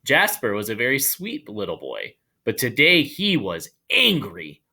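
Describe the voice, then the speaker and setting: A man reading a children's story aloud in an animated storytelling style. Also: medium pitch, a little slow, creaky. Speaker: storybook reader.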